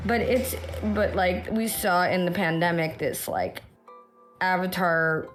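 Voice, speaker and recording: Hoarse American woman chatting over music. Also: on the low side, takes it slowly. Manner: animated rambling, swears freely. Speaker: podcast guest; actor. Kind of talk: casual chat.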